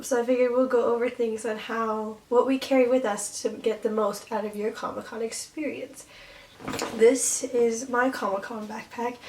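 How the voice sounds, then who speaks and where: A young woman vlogger with a somewhat high voice, hoarse and a little worn after losing it at a convention. She chats in a rambling, giggly way, full of fillers. The mic sits a little back from her, with faint noise underneath. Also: a little mellow, distant mic. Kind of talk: casual chat.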